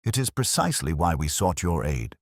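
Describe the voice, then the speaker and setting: Storybook archive official, read by a man: guarded, polite, careful, formal, very low-pitched, close dry sound. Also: sing-song, fairly quick, no room sound, exaggerated voice. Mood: courteous. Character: guarded, courteous male senior records official. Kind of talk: audiobook character reading.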